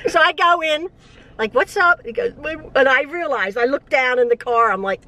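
A woman's voice: sits high, moderate pace, sharp tone, low-quality recording. A middle-aged woman chatting casually to a phone camera in a parked car, rambling with frequent restarts and laughs. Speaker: vlogger and mother. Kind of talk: casual chat. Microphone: phone held at arm's length.

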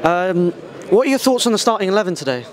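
Brief: young male street interviewer, high bright voice, busy crowd behind him. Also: big pitch swings, quick pace. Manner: chatty, upbeat, teasing. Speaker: fan channel interviewer. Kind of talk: street post-match interview. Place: outside a football stadium at night. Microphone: handheld mic. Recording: outdoor crowd noise.

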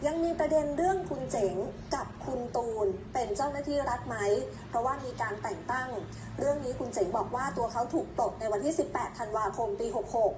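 A woman's voice: sits high, a little fast, few pauses. A woman presenting news in a conversational reporting style. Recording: roomy echo, clear background noise.

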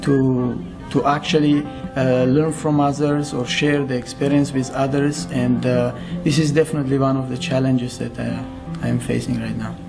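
Hesitant gravelly-voiced man. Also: mid-range voice, flat delivery, moderate pace, few pauses, music in the background. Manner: hesitant, many restarts. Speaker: youth activist. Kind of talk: interview testimony.

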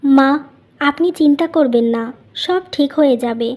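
A very high-pitched young village wife from a cartoon family drama , acted by a woman. She is gentle and self-sacrificing, and speaks with earnest, pleading feeling. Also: measured pace. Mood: earnest, soothing. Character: gentle, grieving young elder daughter-in-law, self-sacrificing village mother. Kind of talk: Bengali animated family melodrama.

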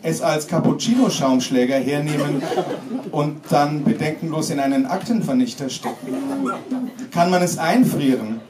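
A man in his forties gives a live reading of a satirical text with mock-solemn, deadpan theatricality. His voice sits slightly high. It is picked up from some distance in an echoey small room. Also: moderate pace. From Germany. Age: 40s. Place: small pub-like room with audience, indoors. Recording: roomy, distant mic, clearly noisy background.

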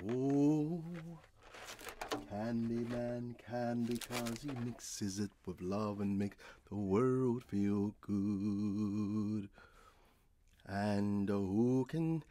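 Middle-aged man, singing, very slow and drawn-out, noisy recording. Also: on the low side, dark tone.

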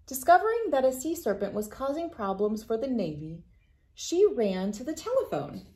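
A woman's voice: fairly high pitch, very animated, moderate pace, mellow. Woman reading a children's storybook aloud. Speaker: children's book read-aloud host. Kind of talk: storybook read-aloud narration. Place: small wood-panelled room indoors.

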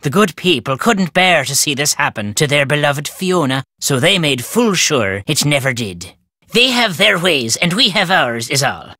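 An actor playing a crotchety, superstitious old Irish caretaker in a video game, telling tales in a folksy, ominous, emphatic way. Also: male voice, a little high, sing-song, normal speed, pushed and bright, no echo, clean studio sound, heavily acted. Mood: ominous storytelling. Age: older. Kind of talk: theatrical storytelling game dialogue.

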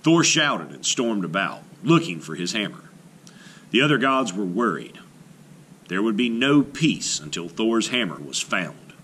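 Casting: male children's storyteller, theatrical, forceful, very varied pitch, unhurried. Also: a little hiss or hum. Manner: expressive, theatrical, deliberate. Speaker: read-aloud storyteller. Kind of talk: dramatic children's storybook narration.

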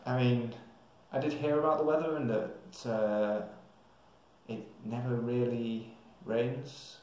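A man's voice: medium pitch, steady tone, takes it slowly, darkish tone, a little hiss or hum. A young man with an English accent rambling casually to camera as a travel vlogger, hesitant and thinking aloud. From England. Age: young adult. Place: small tiled room indoors. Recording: very echoey small hard-walled room.

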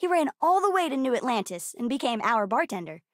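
A woman voicing a bright, bookish, chatty young girl with an American accent, as a video game character. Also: high-pitched, a little fast, dry recording, clean studio sound. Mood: chatty, curious. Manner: chatty, expressive, youthful.

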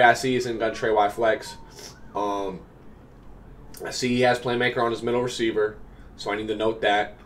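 A man's voice: on the low side, a little hiss or hum. A young man in his twenties, slightly nasal from a cold, giving upbeat casual commentary on his own game from a small room at home. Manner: upbeat, explanatory, many fillers. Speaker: gaming coach and YouTuber.

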